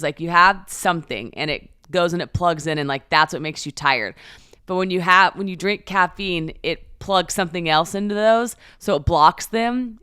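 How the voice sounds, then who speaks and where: A woman's voice: lowish voice, sharp tone, clear, resonant tone, dry recording, studio quality. A young woman co-hosting a podcast, asking curious questions in a casual chat. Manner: curious, asks questions, upbeat in the ad read. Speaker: podcast co-host. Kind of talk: casual chat and host-read ad.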